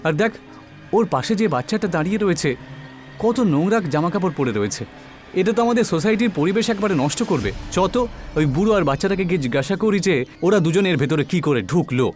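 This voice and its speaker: A man voicing an arrogant, callous rich young bully in an animated story. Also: high-pitched, fast talker, faint music behind. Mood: snobbish.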